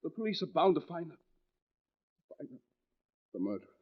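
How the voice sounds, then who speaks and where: An actor playing a brooding, tormented husband, both menacing and tender, with intense, halting melodrama in 1940s radio mystery style. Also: male voice, fairly high pitch, very animated. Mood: grim anguish. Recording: dull old radio recording.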